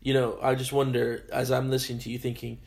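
A man interviewing a guest, speaking gently and reflectively, affirming what she says. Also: mid-range voice, monotone, quick pace, vocal fry. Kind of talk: conversational interview.

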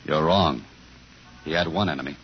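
Very deep-voiced hard-boiled police detective. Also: male voice, moderate pace. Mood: terse questioning. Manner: clipped, deadpan questioning. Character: tough, dry, world-weary male police detective narrator. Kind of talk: 1950s radio drama, hard-boiled narration. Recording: dull old 1950s radio recording, music.

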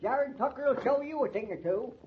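A man's voice: very high voice, brisk, slight background noise. An actor playing a stubborn, feisty old American country man in a folksy 1940s radio drama. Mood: defiant, grumbling. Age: older. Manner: folksy, crotchety, dialect speech. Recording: muffled 1940s radio transcription.

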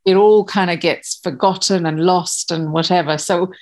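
A woman's voice: lowish voice, fairly even intonation, normal speed, darkish tone. A woman in her fifties from Malawi, a podcast guest talking about her family, hesitant with many fillers and restarts. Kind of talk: casual interview chat.

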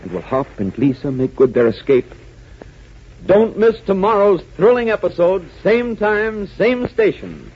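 A man's voice: high-pitched, very animated, measured pace, husky. A man with an American accent announcing in dramatic, emphatic 1940s radio style. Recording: muffled, hissy 1940s radio recording.

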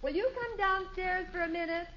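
An actress playing a sensible, gently chiding middle-aged wife and mother in a 1950s radio sitcom. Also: very high-pitched, normal speed, background noise. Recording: muffled old radio recording.

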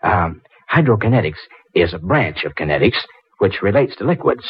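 Husky, deep-voiced flustered man, old radio. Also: very animated, normal speed, no room sound, full character voice. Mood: condescending. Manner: stammering, hesitant, wordy. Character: flustered, condescending male visitor researching hydrokinetics. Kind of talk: 1940s radio drama acting. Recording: muffled vintage 1940s radio recording.

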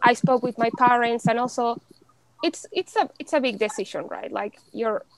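A young woman from Mexico talks in a chatty, animated way, full of fillers and quick laughs. Her voice sits a little high. The recording is dry and close. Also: dry recording, low-quality recording. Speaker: podcast guest. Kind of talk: casual conversation.

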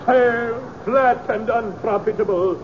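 A terrible, bombastic tragedian in a 1950s radio comedy western. His voice is pitched high, and he declaims very slowly and melodramatically. The old recording is muffled. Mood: anguished, melodramatic. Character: hammy, bombastic bad stage actor playing Hamlet.

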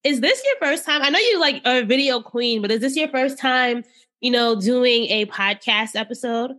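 A woman's voice: on the high side, animated, normal speed, a bit bright, dry recording. A woman hosting an interview podcast, talking in an upbeat, conversational way and asking eager questions. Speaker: podcast host. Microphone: studio podcast mic.

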